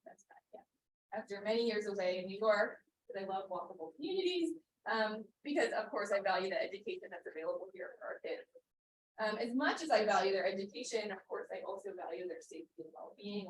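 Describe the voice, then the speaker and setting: A woman in her thirties giving an earnest public comment at a board meeting, reading from notes with many ums. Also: medium pitch. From Pennsylvania, US. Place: meeting room. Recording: echoey room, distant mic, faint hiss.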